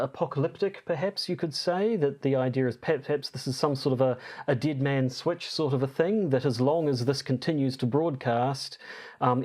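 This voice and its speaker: A man co-hosting a podcast, explaining from notes in a hesitant, halting way as he searches for words. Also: mid-range voice, a little mellow. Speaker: podcast co-host.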